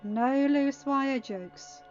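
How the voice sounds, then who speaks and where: A woman's voice: high voice, drawn-out words, darkish tone. A woman voicing a brash, loyal young male Jedi pilot in a story reading, eager and insistent. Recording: dull, band-limited audio with background music.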